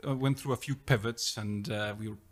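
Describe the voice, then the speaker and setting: A man's voice: lowish voice, steady tone, fairly quick. A middle-aged man from Afghanistan, a startup founder telling his story in an interview, with many fillers and restarts. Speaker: startup co-founder and CEO, guest. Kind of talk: conversational interview.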